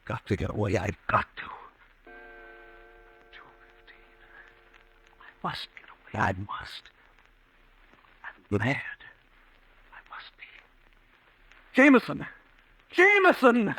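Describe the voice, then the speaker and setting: An actor playing an anxious, haunted businessman in 1940s radio suspense style, agitated and halting in his speech. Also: mid-range voice, huge pitch swings, a bit bright, slight background noise, full character voice, poor audio. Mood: frantic, panicked. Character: haunted, anxious businessman fearing he is going mad. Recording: dry vintage radio drama recording.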